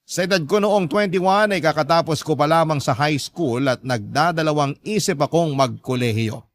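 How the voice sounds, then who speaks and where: A man's voice: fairly high pitch, normal speed, dry recording. Dry-recorded male story narrator. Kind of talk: first-person scripted radio drama narration.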